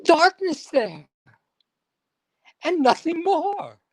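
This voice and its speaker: A woman giving a theatrical dramatic recitation of poetry, with halting, drawn-out phrasing and heavy pauses. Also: extremely high pitch, very animated. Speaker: performer at a live dramatic reading.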